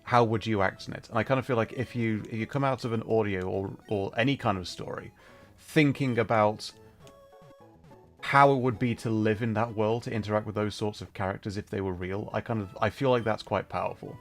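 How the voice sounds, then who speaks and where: Male game streamer, lowish voice, casual and thoughtful, rambling delivery, music underneath. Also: steady tone, brisk. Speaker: livestreamer and host. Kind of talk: casual livestream chat over gameplay, reading out and answering chat.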